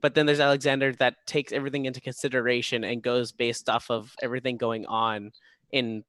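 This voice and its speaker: A man's voice: medium pitch, level tone, brisk, a bit bright, no room sound. A man chatting casually as a cast member in a Q&A.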